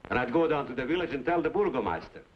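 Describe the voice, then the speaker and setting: An actor playing a worried, plain-spoken immigrant farmer with unidiomatic English in an old-time radio western. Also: male voice, mid-range voice, lively intonation, racing, natural voice. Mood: indignant. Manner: foreign-tinged, unidiomatic English phrasing. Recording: muffled vintage radio recording, faint noise.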